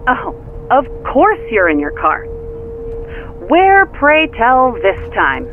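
An actress playing an exasperated, sarcastic, curt co-worker over a phone in an audio drama. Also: female voice, high-pitched, huge pitch swings, slow, full character voice. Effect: phone filter. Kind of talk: naturalistic audio drama acting. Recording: muffled phone-filtered sound with music.

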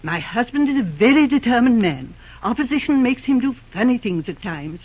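A woman's voice: on the high side, very animated, normal speed, breathy edge. A woman playing a genteel, eccentric middle-aged mother in an old-time radio drama, airy and self-assured, with an affectionate, patronizing manner. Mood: airy, wry. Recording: dull, band-limited old radio recording.

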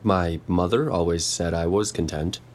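An actor playing an awkward, lonely botanist in an audio drama, earnest and stammering, with a husky voice and formal wording. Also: sits low, moderate pace, darkish tone, slight background noise, lightly acted. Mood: curious, earnest. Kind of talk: naturalistic audio drama dialogue.

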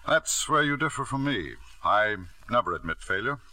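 An actor playing a ruthless self-made millionaire father, narrating and acting in a 1940s radio drama with a crisp, sardonic delivery. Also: mid-range voice, expressive, moderate pace, pushed and bright, dry recording. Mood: cool menace. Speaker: narrator and lead character. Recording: dry old radio broadcast.

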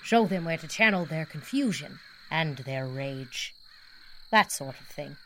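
A low-voiced female guide to ghosts narrates an audio drama. She is measured, earnest and reflective, with faint outdoor ambience behind her. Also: lively intonation, a bit bright, a little hiss or hum, full character voice.

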